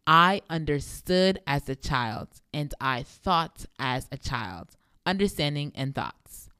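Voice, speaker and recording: A young woman with a deep voice hosting a devotional podcast, speaking emphatically and repeating phrases for effect. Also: animated, takes it slowly, pushed and bright, smooth, dry recording, studio quality. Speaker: podcast host.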